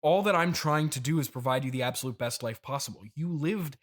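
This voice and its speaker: A man playing a boastful, defensive trillionaire father in an improvised comedy interview, halting and over-explaining as he dotes awkwardly. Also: medium pitch, lightly acted. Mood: earnest pleading. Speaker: podcast host.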